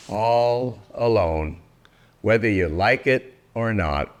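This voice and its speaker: An older man reading a rhyming children's book aloud in a theatrical, deliberate way, drawing out words for effect. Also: fairly low pitch. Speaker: storybook reader.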